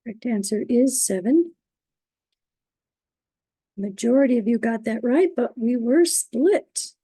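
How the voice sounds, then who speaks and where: A woman moderating an online trivia quiz, reading out questions and standings, who is losing her voice and keeps clearing her throat. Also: on the high side, warm tone, dry recording. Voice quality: gravelly, husky. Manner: upbeat, reading standings, halting on invented words.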